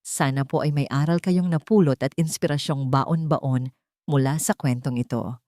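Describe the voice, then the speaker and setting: A woman's voice: low voice, fairly even intonation, moderate pace, warm tone, dry recording, studio quality. A woman narrating a scripted romantic story in a dramatic, sentimental way.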